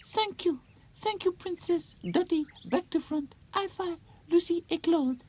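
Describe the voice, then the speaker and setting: Brief: man voicing a tiny French girl doll, very high, shrill and breathless, French accent. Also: unhurried, darkish tone. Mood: grateful. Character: worried, excitable little French girl doll.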